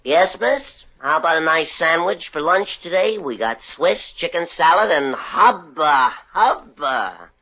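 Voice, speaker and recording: An actor playing a joking, quick-witted former showman working a soda counter in a 1950s radio drama. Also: a little high, expressive, bright, forceful tone, full character voice. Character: wisecracking ex-showman soda jerk. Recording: dull old radio recording.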